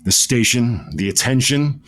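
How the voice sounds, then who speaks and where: A man's voice: mid-range voice, lively intonation, a little slow, a bit bright. A man playing a bitter, slighted twin posing as his brother, wry and conniving, in a comedy audio drama. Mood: wry scheming.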